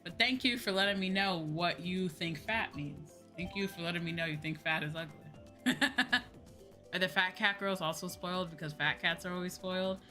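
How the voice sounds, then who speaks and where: A woman's voice: lowish voice, normal speed, bright, forceful tone. A woman livestreamer chatting casually while gaming, rambling with asides and swearing freely in an informal way. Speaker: livestreamer, VTuber host. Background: music behind the voice.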